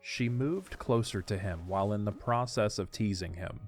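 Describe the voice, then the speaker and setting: A man's voice: lowish voice, normal speed. A man reading scripted story narration as the narrator of an audio drama. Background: faint music.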